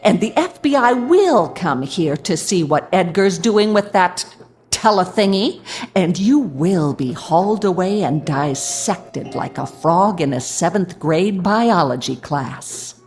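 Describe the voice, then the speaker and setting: An actress playing a domineering, bigoted, nagging wife in a radio drama, sarcastic, commanding and full of contempt. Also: female voice, lowish voice, expressive, slow, darkish tone, soft background music. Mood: mocking, menacing.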